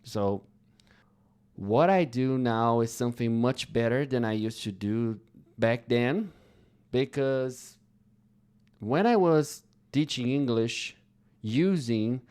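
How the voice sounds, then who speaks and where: Man with Brazilian accent, English teacher, very slow careful delivery, smooth clear voice. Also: mid-range voice, darkish tone. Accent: Brazilian Portuguese. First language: Portuguese. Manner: slow, careful, deliberate phrasing with pauses. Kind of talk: slow English lesson talk.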